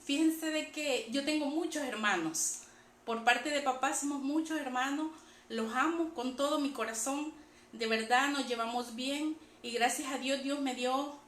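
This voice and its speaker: A woman's voice: high-pitched, fairly bright tone. A woman with a Salvadoran Spanish accent gives a personal religious testimony to an online audience in a conversational way. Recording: echoey room with faint background noise.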